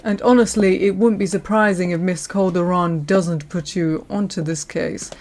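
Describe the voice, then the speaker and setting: A woman playing a courteous senior lawyer in an audio roleplay, speaking in a polite, measured, professional way to the listener. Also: lowish voice, fairly even intonation, a little mellow, natural voice. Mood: polite, businesslike. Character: courteous senior female lawyer showing a newcomer around.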